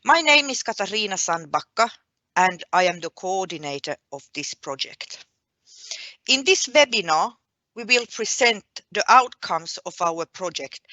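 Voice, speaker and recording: A woman presenting a webinar as its host and coordinator, speaking in a deliberate, explanatory way. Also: mid-range voice, sharp tone, dry recording. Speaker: project coordinator hosting a webinar.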